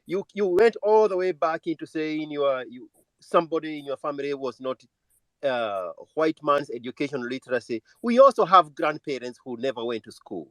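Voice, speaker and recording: Man jumping in to argue, blunt, kinda high voice, dry sound. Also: lively intonation, normal speed, no echo, low-quality recording. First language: not English. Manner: interrupting, blunt, argumentative. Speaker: caller. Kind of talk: live audio chat room debate.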